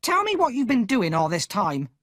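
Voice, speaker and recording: A man voicing a hard, angry older woman who scolds and snaps in a shrill voice. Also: wildly swooping pitch, normal speed, fairly bright tone, no echo, studio quality, heavily acted. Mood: scolding.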